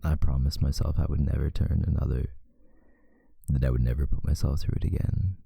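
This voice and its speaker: A man playing a tender, protective vampire lover in an intimate ASMR roleplay, speaking in a husky, hushed voice with deliberate pauses. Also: steady tone, dry recording, studio quality, heavily acted. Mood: solemn devotion. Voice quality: very deep, gravelly, husky, breathy, often near a whisper.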